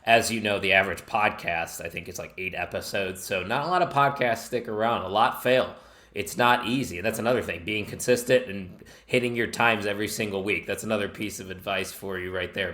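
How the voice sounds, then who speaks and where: A man's voice: fairly low pitch, a little fast, a bit bright. An American man with a Chicago accent, a podcast guest talking in an earnest, enthusiastic way that drifts off the point. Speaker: podcast guest, wrestling network founder. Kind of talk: casual podcast chat.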